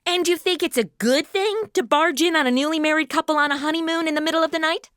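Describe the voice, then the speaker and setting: A woman's voice: sits high, quick pace, a bit bright, no room sound, clean studio sound. A woman playing a brash, bossy, sarcastic modern young woman, blunt and slangy, in a comedy radio drama.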